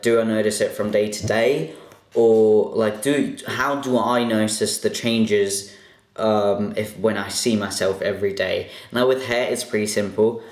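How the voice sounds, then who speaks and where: A young man casually answering viewer questions in a vlog, chatty and a bit self-conscious, with lots of fillers. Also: mid-range voice. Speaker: vlogger. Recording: echoey room.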